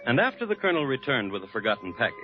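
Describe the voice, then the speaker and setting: A dull vintage radio recording with music behind it. An actor plays a wry male storyteller, mid-pitched and lively in inflection, relishing a scandalous tale in florid 1940s narration. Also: rapid-fire, close to an everyday voice. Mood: wry, measured.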